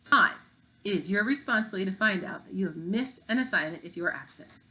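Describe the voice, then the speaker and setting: An orderly woman schoolteacher acted by a reader explains things in a measured, instructive way. She is a storybook fifth-grade teacher. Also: mid-range voice, expressive, bright, forceful tone, low-quality recording.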